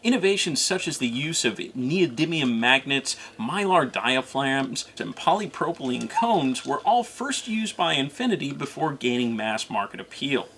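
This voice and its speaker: A man's voice: mid-range voice, big pitch swings, normal speed, few pauses, fairly bright tone, a little hiss or hum. A man narrating a scripted, informational product review as co-host.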